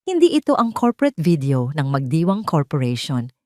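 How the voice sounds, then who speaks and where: A woman telling a first-person story as a scripted drama, with an emotive and deliberate delivery. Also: low voice, sing-song, dark tone, no echo, clean studio sound. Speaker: audio story narrator.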